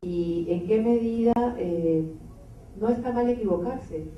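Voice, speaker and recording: A woman moderating a public debate, speaking off the cuff and deliberately, with pauses between phrases. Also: mid-range voice. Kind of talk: spontaneous introduction opening a public debate. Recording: echoey, distant, dull, slightly noisy room recording.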